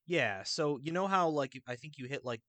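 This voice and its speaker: A man chatting casually about video games in a rambling, halting, enthusiastic way. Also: fairly high pitch, lively intonation, fairly bright tone, dry recording. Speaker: video game podcast panelist.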